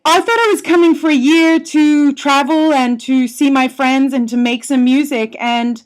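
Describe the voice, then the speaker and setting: A woman's voice: high-pitched, steady tone, normal speed. A woman from Australia, a singer-songwriter, talking in a friendly, chatty way as a guest on a phone-in radio interview. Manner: friendly, chatty, laughs often. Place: home in London. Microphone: telephone.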